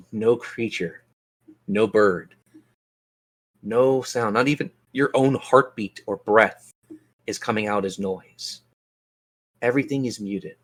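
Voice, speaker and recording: A man acting as game master, narrating and refereeing a tabletop fantasy game in colloquial language and speaking directly to his players. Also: mid-range voice.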